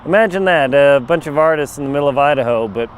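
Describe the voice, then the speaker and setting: A middle-aged man with a slightly hoarse but smooth, clear voice talks straight to a camera held at arm's length. He rambles in a wry, joking way outdoors. His pitch sits in the middle. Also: animated, fast talker. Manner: chatty, wry, jokey asides. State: a bit hoarse. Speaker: travel vlogger. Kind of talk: casual handheld travel vlog. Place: outdoors on a rural farm lot. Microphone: handheld camera mic at arm's length.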